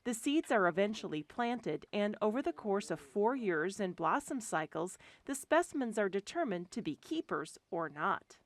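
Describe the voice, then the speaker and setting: A woman reading scripted documentary narration in a lively, expressive way, recorded as a studio voice-over. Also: mid-range voice, moderate pace, few pauses. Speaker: program narrator. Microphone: studio voice-over mic.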